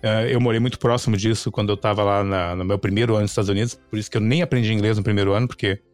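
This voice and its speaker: A man, telling animated stories in casual conversation and often adding "sabe?" and "cara". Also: fairly low pitch, racing, no echo, faint music behind. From Brazil. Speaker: Brazilian YouTuber living in the US.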